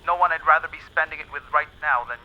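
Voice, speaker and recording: An actor playing a loyal, easygoing spacecraft lieutenant in an audio drama, relaxed and kindly, heard through a radio filter. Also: male voice, fairly high pitch, quick pace, dull audio. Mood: tender, loyal.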